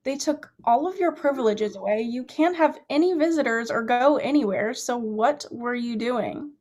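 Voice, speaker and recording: A woman acting a guarded, lovesick young woman, higher-pitched. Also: moderate pace, a little mellow, lightly acted. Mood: accusing. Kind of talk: staged reading of a play.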